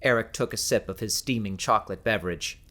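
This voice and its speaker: Low-voiced male audiobook narrator. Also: a little fast, fairly bright tone. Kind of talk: scripted fiction narration.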